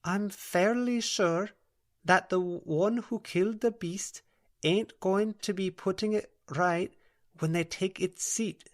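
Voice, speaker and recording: A woman's voice: medium pitch, takes it slowly, pitched above the performer's own voice, close to an everyday voice. A woman voicing a soothing, earnest female dream-walker with a broad Scottish accent in an audiobook. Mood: warning.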